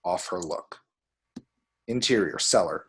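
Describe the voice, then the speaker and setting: A man reading screen directions aloud as expressive scripted narration. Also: sits low, measured pace, slightly rough. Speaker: reader of action descriptions.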